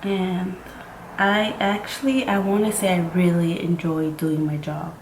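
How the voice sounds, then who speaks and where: Chatty young woman, low-ish voice, roomy sound. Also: fairly even intonation, unhurried. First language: Mixtec. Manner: chatty and rambling, full of "like" and "freaking". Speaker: court interpreter. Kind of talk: casual makeup tutorial chat. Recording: roomy with faint ambient noise.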